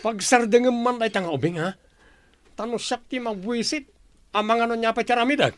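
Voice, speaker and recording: A man playing a cruel, irritable young partner in an audio drama, gruff and scolding, with an Ilocano Filipino accent. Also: extremely high pitch, a little fast, a bit bright. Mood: angry. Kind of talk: amateur audio drama acting.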